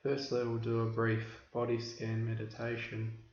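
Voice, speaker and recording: Flat-toned man guiding meditation, roomy recording. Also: mid-range voice. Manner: measured, instructional, with deliberate pauses. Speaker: meditation teacher. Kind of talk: guided meditation instruction. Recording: roomy, dull recording with background hiss.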